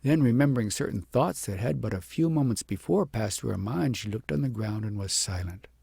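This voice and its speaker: An audiobook narrator reads a fairy tale in a dark, mellow voice. It is strongly creaky and husky, and the recording is dry. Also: mid-range voice, animated, brisk, no room sound. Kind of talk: scripted storybook narration.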